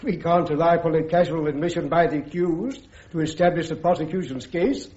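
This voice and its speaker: Male actor, British, courteous firm legal officer, high mellow voice, radio drama. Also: close to an everyday voice. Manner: courteous, formal, measured wording. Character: polite, firm judge advocate at military court. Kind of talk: full-cast radio drama acting. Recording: muffled, roomy radio recording.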